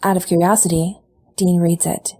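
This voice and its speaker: A woman reads a story aloud in a mellow, fairly low voice. She tells it at an easy pace and gives the dialogue light voices. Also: little pitch movement, normal speed. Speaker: podfic reader. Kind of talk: reading fan fiction aloud.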